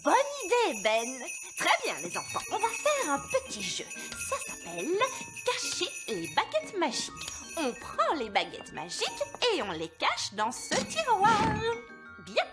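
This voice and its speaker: Nervous elf schoolteacher, very high voice, falsely cheerful, cartoon, music underneath. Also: female voice, huge pitch swings, unhurried, exaggerated voice. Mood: reassuring, teacherly. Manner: theatrical, flustered, falsely cheerful. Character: nervous elf mother, flustered assistant schoolteacher. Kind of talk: animated cartoon voice acting, French dub.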